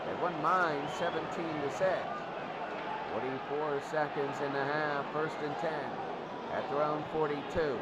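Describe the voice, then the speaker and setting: Male football play-by-play announcer, high voice, clipped excitable calls, heavy outdoor noise throughout. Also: unhurried. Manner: short clipped phrases, excitable on big plays. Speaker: play-by-play sports commentator. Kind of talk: live American football commentary.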